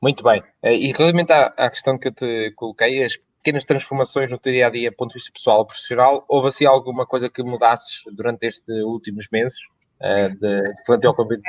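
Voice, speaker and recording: A man's voice: mid-range voice, steady tone, a little fast, dry recording. A Portuguese man with a European Portuguese accent hosts a live chat over a video call. His questions run long and wander, restarting as he goes. The sound is dry and muffled, with no room around it. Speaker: host, interviewer. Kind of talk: live online interview.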